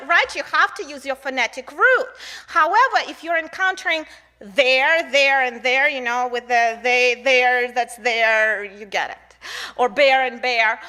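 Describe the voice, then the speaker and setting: A woman's voice: high voice, sharp tone. A woman with a Russian accent giving a conference lecture, speaking conversationally to her listeners as a researcher. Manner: conversational, uses rhetorical questions and tag "right?".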